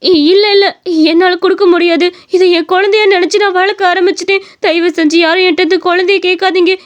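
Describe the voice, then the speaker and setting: A woman's voice: very high-pitched, little pitch movement, fast talker, highs cut off, pitched above the performer's own voice. A woman playing a poor, devoted young foster mother in a cartoon story, imploring and emotional. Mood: desperate pleading.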